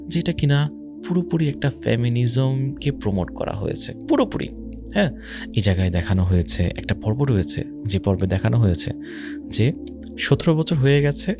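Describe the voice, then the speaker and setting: Bangladeshi guy doing islamic commentary, mid pitched, pushy questions to the listener, bit of english, music clearly behind him. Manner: mixes in English words. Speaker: Islamic speaker. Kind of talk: religious social commentary talk.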